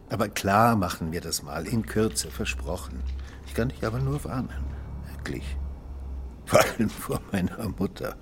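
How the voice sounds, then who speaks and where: An actor playing an evasive, brooding man in a German radio drama, speaking in a measured way. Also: low-pitched, slight background noise. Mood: defensive, placating. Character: Starnke, evasive male architect, brooding over his dreams. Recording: music under the voice.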